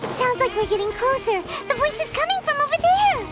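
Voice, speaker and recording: A woman voicing a tiny, childlike floating companion in a video game, excitable and loyal, with a chirpy delivery. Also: very high voice, brisk, heavily acted. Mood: curious. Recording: loud background music.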